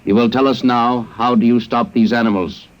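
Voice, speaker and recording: A man playing a cold, logical male alien captor in a 1950s radio drama, speaking in flat, clipped, mechanical sentences, heard on a muffled vintage broadcast recording. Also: mid-range voice, normal speed, natural voice.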